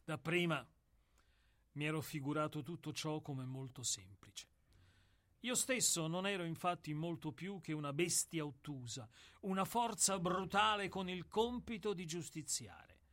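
An actor in a radio play playing an old, world-weary executioner who speaks in a deliberate, declamatory way with weighted pauses. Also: male voice, on the high side, a bit bright. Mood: reflective, confessional. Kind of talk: dramatic radio play monologue.

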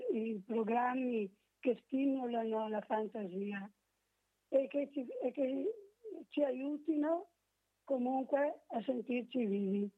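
A woman calling in to a radio show, talking in a measured, halting conversational way. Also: on the high side. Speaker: radio phone-in caller. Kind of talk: casual conversation. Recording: narrow, tinny phone line.

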